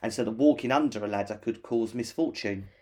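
A man's voice: on the low side, fairly quick, dark tone. A British man co-hosting a casual podcast, chatting with enthusiasm and telling stories. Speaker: podcast co-host. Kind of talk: casual chat.

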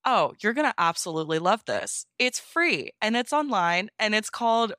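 A woman's voice: mid-range voice, big pitch swings, moderate pace, bright, forceful tone, no room sound, clean studio sound. A woman being interviewed, chatting informally and explaining things step by step in a casual way. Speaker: podfic narrator being interviewed.

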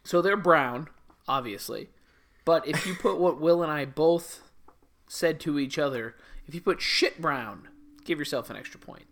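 Bright, joking man reading trivia answers. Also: a little high, measured pace. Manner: joking, sarcastic, lively. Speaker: trivia co-host. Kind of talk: casual comedic chat reading trivia answers.

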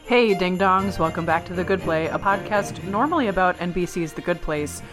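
A woman co-hosting a podcast in casual chat, her voice husky from a cold, rambling and correcting herself as she goes. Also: on the low side, brisk, music in the background, low-quality recording. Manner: rambling, self-correcting, laughing often. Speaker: podcast co-host. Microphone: remote call.

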